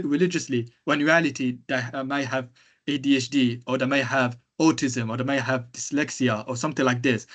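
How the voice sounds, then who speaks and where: A man with a loud voice gives a conference presentation. He pauses and fills gaps with ums and you-knows as he talks through his points, his pitch sitting in the middle range. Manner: hesitant, many fillers. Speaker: conference speaker. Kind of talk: presentation talk.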